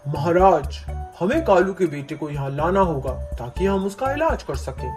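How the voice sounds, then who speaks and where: A woman voicing a serious, reassuring doctor in an animal fable, speaking in a grave, measured way. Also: on the low side, animated, music in the background, pitched below the performer's own voice, lightly acted.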